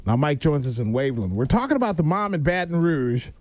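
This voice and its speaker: Animated American radio host, a man. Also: fairly high pitch, quick pace. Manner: chatty, conversational asides. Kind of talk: upbeat radio DJ talk. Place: radio studio.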